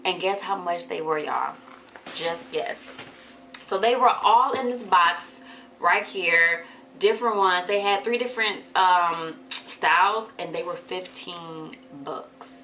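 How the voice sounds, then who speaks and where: A woman with a hoarse voice from a cold, chatting casually to viewers in a haul vlog. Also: slow, sharp tone, a little hiss or hum. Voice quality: deeper than usual. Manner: chatty, addresses viewers as y'all. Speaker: vlogger.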